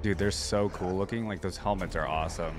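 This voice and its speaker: A man's voice: sits low, fairly even intonation, brisk. A man hosting a reaction video with casual, slangy running commentary. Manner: informal running asides, slangy. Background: music from the watched video.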